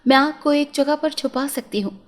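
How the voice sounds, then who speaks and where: Woman playing an anxious young princess, high-pitched. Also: normal speed, close to an everyday voice. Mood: earnest, resolved. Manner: anxious, earnest questioning. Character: tender-hearted young princess, timid but devoted.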